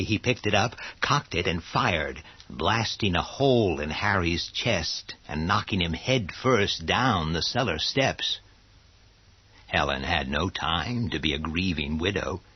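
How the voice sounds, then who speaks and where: A man narrating a horror radio play in a grim, dramatic, husky voice. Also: sits low, heavily acted. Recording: dull lo-fi sound.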